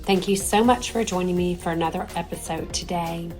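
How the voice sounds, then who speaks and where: Middle-aged woman host, hoarse and strained, lowish voice, chatty and reflective. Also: fairly quick, a little mellow, faint music behind. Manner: reflective, conversational, many fillers. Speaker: podcast host. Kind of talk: solo podcast talk.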